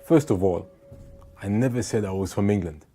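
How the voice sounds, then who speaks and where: A man born in France recounting a run-in with police in a conversational, animated way, full of asides to the listener. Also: fairly low pitch, moderate pace, soft background music. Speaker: vlogger. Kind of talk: storytelling to camera.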